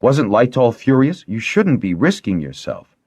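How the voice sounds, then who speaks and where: A man's voice: medium pitch, big pitch swings, a little slow, darkish tone, breathy edge, dry recording, voice pushed up, heavily acted. A man voicing a blunt, scornful, hot-tempered girl in an audiobook story. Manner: disapproving, derisive, forthright.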